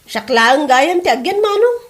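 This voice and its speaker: A woman's voice: high-pitched, sing-song, a little slow, faint music behind. A woman acting as a discontented young wife in a comedy drama, complaining insistently to press her husband. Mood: resolute.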